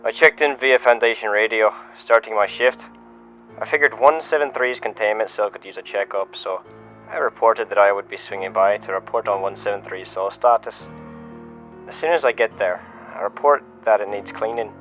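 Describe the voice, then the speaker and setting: Low-voiced shaken security officer, muffled recording man acting. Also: normal speed, natural voice. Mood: grave, measured. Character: shaken male security officer giving an incident report. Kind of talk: first-person scripted audio log. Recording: muffled lo-fi radio-style sound, music behind. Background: music.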